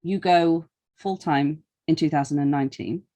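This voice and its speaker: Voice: mid-range voice, normal speed, dark tone. A British interviewer from England, talking casually as a podcast host with wry, dry humour. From North East Lincolnshire, England.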